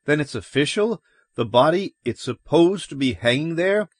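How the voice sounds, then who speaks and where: A man's voice: mid-range voice, unhurried, no echo, clean studio sound, pitched above the performer's own voice. A male narrator voicing an agitated, bewildered ordinary man in a dramatic story reading. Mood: bewildered, hopeful. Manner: urgent, pleading, excitable.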